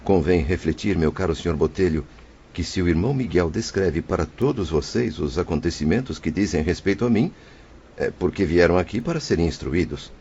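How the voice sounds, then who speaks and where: A man's voice: low voice, animated, fairly quick, a little mellow, muffled recording, slight background noise. A man playing a remorseful, humble spirit in an audio drama, speaking formally and with sorrow. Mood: sorrowful, earnest. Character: remorseful, embittered but humble male spirit under instruction.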